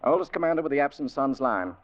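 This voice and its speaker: An actor playing a proud young ship's officer, boyish and enthusiastic, in an old radio drama. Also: male voice, medium pitch, animated, fast talker, natural voice. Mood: eager, admiring. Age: young adult. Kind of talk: 1940s radio acting. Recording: dull, noisy vintage radio recording.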